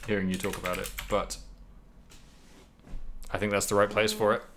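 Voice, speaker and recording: A man's voice: fairly low pitch, little pitch movement, moderate pace, noisy background. A man co-hosting a podcast, chatting casually about video games. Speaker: podcast co-host.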